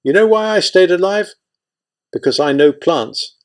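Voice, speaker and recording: A man's voice: high-pitched, lively intonation, measured pace, pitched above the performer's own voice. A man voicing a tall, thin old man, a former artillery soldier, talking in a blunt, boastful way.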